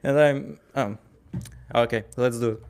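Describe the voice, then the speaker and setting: Ukrainian guy w gravelly creaky voice, casual storytelling, trips over his words a lot, acts out convos. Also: mid-range voice. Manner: halting, stammering over words, animated storyteller acting out dialogue. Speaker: wrestling ring announcer and commentator. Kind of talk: casual spoken anecdote.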